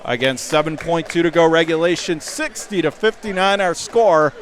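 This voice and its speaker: A man doing live radio play-by-play of a high school basketball game from the gym, emphatically restating the score and clock. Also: moderate pace. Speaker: play-by-play sports announcer.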